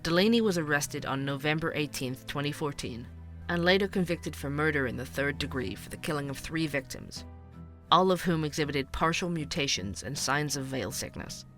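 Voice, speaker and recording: Investigative podcast host, fairly high voice, crisp bright tone, conversational, wry, fictional podcast. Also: male voice, expressive, normal speed, faint music behind. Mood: sober, informative. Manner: conversational, wry, hesitant asides. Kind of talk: fictional podcast narration and dialogue.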